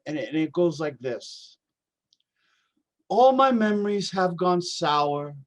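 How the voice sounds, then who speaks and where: A man playing a troubled homeless young doomsday poet in a play reading, speaking in a halting, dramatic way. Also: high voice. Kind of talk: staged play reading, reciting verse.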